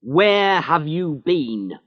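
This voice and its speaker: A man voicing a big, stern police inspector who scolds and mocks loudly. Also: on the low side, animated, measured pace, dry recording, dull audio, pitched above the performer's own voice. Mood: shouting. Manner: loud, scolding, mocking.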